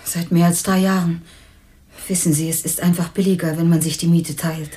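A woman's voice: fairly low pitch, little pitch movement, normal speed, warm tone, close to an everyday voice. An actress playing a candid, unsentimental young working woman in a crime radio drama, talking bluntly and colloquially. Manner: blunt, colloquial, matter-of-fact. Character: candid, unsentimental young former waitress, murder victim's flatmate.

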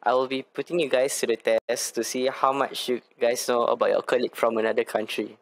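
A man's voice: medium pitch, flat delivery, a little fast. A man hosting and reading out questions to his colleagues. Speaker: host asking questions. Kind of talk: read-out interview questions.

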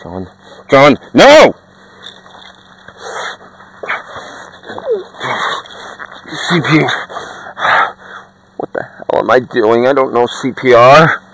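A man playing a panicked survivor filming the ordeal, shouting and pleading with a dying friend. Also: mid-range voice, animated, measured pace, no room sound, rough recording. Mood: grieving. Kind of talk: found-footage acting.

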